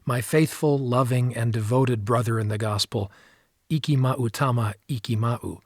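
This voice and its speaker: A man's voice: medium pitch, moderate pace, dry recording, studio quality. A man narrating an audiobook, reading from a written script.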